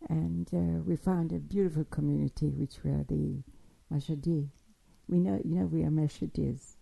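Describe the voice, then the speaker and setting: An older woman telling her life story in a halting, digressive way, with frequent restarts and fillers. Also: deep voice, steady tone, creaky, mellow, husky, poor audio. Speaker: interviewee recounting childhood. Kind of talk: spoken memoir, oral history.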